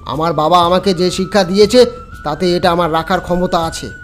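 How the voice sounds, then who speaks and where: A man's voice: sits high, fairly even intonation, a little fast, faint music behind, natural voice. A man voicing a mocking, short-tempered village man who taunts and gives orders in a horror audio story. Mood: angry, threatening. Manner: taunting, commanding.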